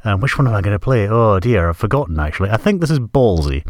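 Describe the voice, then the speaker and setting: Man, stuffy cold, very low voice, rambling podcast host, self-correcting. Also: very animated, quick pace. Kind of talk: casual music podcast links.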